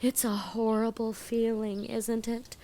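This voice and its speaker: A gushing, vain young student. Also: female voice, a little high, flat delivery, unhurried, warm tone, faint music behind. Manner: gushing, breathless chatter with playful emphasis. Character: chatty, vain, indecisive young woman student. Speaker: voice actor. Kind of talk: audio drama acting.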